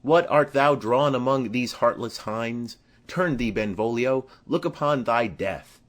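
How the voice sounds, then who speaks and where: A man's voice: medium pitch, unhurried, natural voice. A man playing a hot-tempered young swordsman, scornful and challenging, in a Shakespearean audiobook. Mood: menacing.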